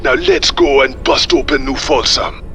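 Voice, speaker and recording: An actor playing a brooding, intense rebel psychic assassin in a video game, with a Caribbean accent and a conspiratorial manner. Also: male voice, mid-range voice, fairly even intonation, normal speed, sharp tone, faint music behind. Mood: confident, intense. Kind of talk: dramatic video game acting.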